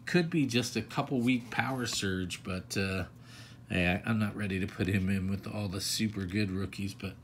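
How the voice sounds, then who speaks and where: Chatty low-voiced man opening card packs. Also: moderate pace, a little hiss or hum. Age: older adult. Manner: chatty, self-deprecating, rambling asides. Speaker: card collector channel host. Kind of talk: casual pack-opening commentary. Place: indoors at a table.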